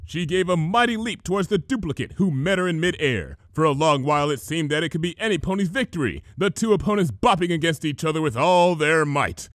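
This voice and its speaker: A man's voice: on the high side, expressive, fairly quick, hardly any pauses, a bit bright, dry recording, studio quality. A man reading a story aloud as narrator, in a scripted, dramatic storytelling style. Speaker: fan fiction reader, narrator.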